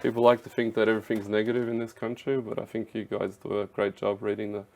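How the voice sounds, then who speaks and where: A man's voice: on the low side, little pitch movement, fast, gravelly, a little mellow. A man in his thirties from Canada, a podcast guest talking earnestly in casual chat, with many filler words. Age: 30s. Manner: earnest, lots of "you know" and "um" fillers.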